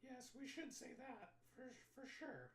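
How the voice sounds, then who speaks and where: A man voicing an eager young agent in a soft, breathy falsetto. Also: huge pitch swings, unhurried, echoey room, slight background noise, exaggerated voice. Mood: earnest.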